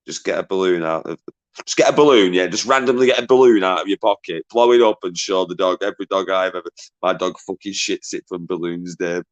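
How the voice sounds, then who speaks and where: Man, low voice, loud, swears a lot, laughs often, casual stream host. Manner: swears freely, laughs a lot, banters with callers; says he has a deep, loud voice. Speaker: livestream host. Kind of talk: casual live-stream chat.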